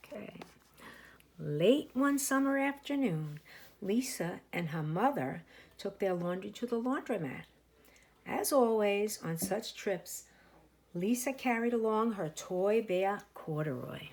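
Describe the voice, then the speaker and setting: A woman reading a picture book aloud as a teacher to young children, with expressive, drawn-out emphasis. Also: mid-range voice. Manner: animated, with slow drawn-out emphasis; asides and explanations for young listeners.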